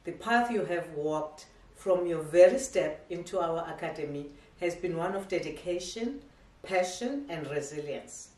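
A woman's voice: lowish voice, animated, warm tone. Woman giving a formal graduation speech. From South Africa. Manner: measured, ceremonial delivery with slight stumbles. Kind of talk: formal prepared speech read aloud. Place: living room at home. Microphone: camera across the room. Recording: echoey room, voice from a distance.

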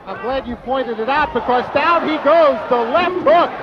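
A man's voice: very high-pitched, moderate pace. A man commentating on a televised boxing match. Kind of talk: live TV fight commentary. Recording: dull old TV broadcast, crowd noise. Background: faint arena crowd.

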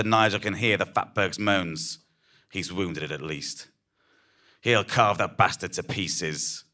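A man reading scripted dramatic narration live on stage, in a measured, deadpan manner. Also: on the low side.

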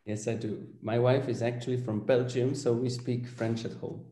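A man in his forties speaks as a guest vet on a video call, pausing often with fillers. His tone is mellow and dark, and the room around him rings with echo. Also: mid-range voice, flat delivery. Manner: hesitant, many fillers. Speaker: veterinary surgeon guest. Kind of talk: answering questions on a webinar. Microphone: video call webcam.

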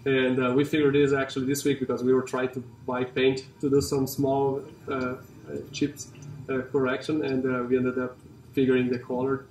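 A man in his forties with a Brazilian accent, talking casually and haltingly about his car in a large garage showroom, with many fillers and restarts. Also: mid-range voice, completely flat delivery, darkish tone, a little hiss or hum. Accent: Brazilian Portuguese. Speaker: company CEO and car collector. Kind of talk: casual walkaround interview. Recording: roomy and distant in a garage.

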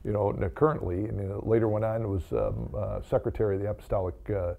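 Older American man, low gravelly voice. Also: phone-quality sound. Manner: halting, with repeated words. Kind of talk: informal remarks and blessing at Mass. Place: small chapel, indoors.

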